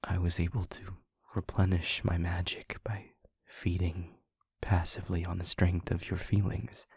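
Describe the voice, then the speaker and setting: A man playing a weary demon in an intimate audio roleplay, speaking earnestly and haltingly in a breathy half-whisper. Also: sits low, a little mellow, no echo, exaggerated voice. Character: weary male demon confiding a painful truth. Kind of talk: scripted audio roleplay, intimate monologue to listener. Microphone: close microphone, dry.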